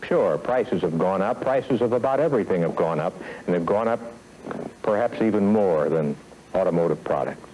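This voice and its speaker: American man, lowish voice, formal corporate presenter, measured scripted delivery, emphatic pauses, faintly noisy 1970s training film. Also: animated, mellow. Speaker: company executive presenter. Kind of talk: scripted sales training lecture.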